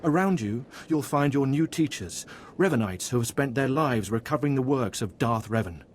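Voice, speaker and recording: A man playing a devout, guarded cult mentor in a video game, speaking in a formal, reverent, measured way. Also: medium pitch, animated, a little hiss or hum, close to an everyday voice. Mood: solemn, reverent. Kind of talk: scripted video game voice acting.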